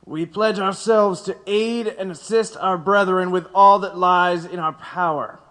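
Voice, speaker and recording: An actor playing a police captain testifying and reading aloud in a courtroom audio drama. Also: male voice, high voice, steady tone, measured pace, fairly bright tone. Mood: declaiming. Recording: long hall reverb.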